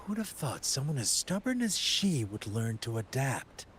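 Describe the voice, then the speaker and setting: An actor playing a cruel, unhinged villain in a video game cutscene, theatrical and mocking, with flamboyant drawn-out phrasing. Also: male voice, mid-range voice, very animated, a bit bright, a little hiss or hum. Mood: amused, mocking.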